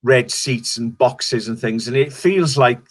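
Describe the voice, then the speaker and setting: A man's voice: medium pitch, unhurried. A middle-aged Welsh comedian chatting casually in an interview, rambling and restarting his sentences. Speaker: stand-up comedian guest.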